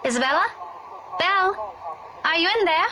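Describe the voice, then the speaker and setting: Young woman, game daughter role: high, animated, casual, teasing but caring, noisy backdrop. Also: normal speed, vocal fry, full character voice. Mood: concerned. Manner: casual, expressive. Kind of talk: visual novel voice acting.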